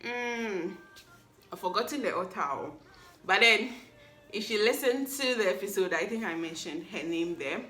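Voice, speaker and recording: A woman's voice: mid-range voice, lively intonation, moderate pace, sharp tone, faint music behind. A woman, hosting a solo podcast recap in a playful, chatty way that teases the listener. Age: 20s. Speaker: podcast host. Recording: echoey room.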